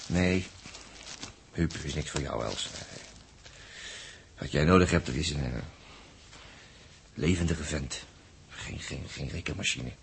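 A man with a Netherlands Dutch accent playing a sly, needling, flirtatious space crewman in a 1960s radio drama, speaking haltingly and repeating words. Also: very deep voice, gravelly, slight background noise, heavily acted. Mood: teasing, flirty. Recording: muffled 1960s radio recording.

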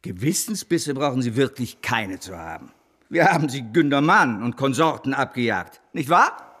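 An actor playing a domineering, persuasive financier in a German radio drama, grandiose, cajoling and impatient. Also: male voice, medium pitch, big pitch swings, normal speed, a bit bright, slightly rough. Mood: cajoling, triumphant. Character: domineering, persuasive financier intoxicated by his own schemes.

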